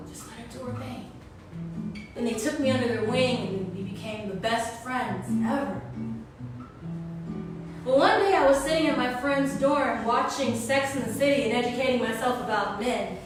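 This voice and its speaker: Female one-woman-show storyteller, dramatic and loosely improvised, high voice, distant from the mic with music behind. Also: very echoey. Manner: expressive, dramatic storytelling with drawn-out words. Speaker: solo stage performer. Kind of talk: theatrical autobiographical monologue, loosely improvised.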